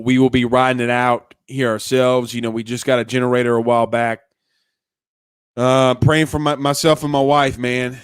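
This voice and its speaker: A young man rambles through a casual live sports chat, restarting his sentences and calling listeners man and bro. His voice is smooth and resonant, a bit congested, and it sits at a middling pitch. The sound is close and dry, with no room echo. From Louisiana. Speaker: sports podcast host. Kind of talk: casual live-stream chat reading comments.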